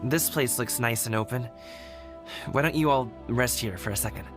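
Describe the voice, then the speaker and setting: A young fighter in a video game voiced by a man talks in a medium-pitched, earnest voice. He sounds dutiful and sincere, with soft music behind him. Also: moderate pace, lightly acted. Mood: reserved.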